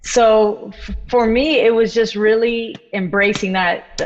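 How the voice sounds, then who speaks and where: A woman's voice: fairly high pitch, fairly even intonation, slow pace, a little hiss or hum. A young adult woman, a drummer and composer, chatting on a video call from home, laughing often and quoting her own thoughts. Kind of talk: casual chat on a video call. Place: room at home. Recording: echoey, dull video-call audio.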